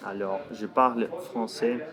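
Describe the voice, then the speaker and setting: Portuguese guy, lowish voice, chatting in french he's still learning, keeps hunting for words. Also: fairly even intonation, measured pace, a crowd in the background. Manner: searching for words, frequent restarts. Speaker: guest, language youtuber. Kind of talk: casual conversation in a learned language. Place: outdoors in a city.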